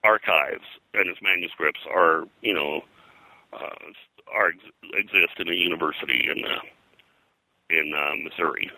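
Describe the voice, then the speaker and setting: An American man with a lowish, sharply bright voice, recorded dry and close. He talks as an expert guest in an interview, piling up details and drifting into side stories as he goes. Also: unhurried, vocal fry, no echo, poor audio. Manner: rambling, digressive, detail-heavy. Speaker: interview guest, pop culture historian and publisher. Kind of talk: conversational interview, telling detailed anecdotes.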